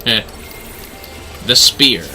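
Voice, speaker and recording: A man's voice: medium pitch, animated, very slow, bright, forceful tone, soft background music. A man livestreaming a video game with casual running commentary.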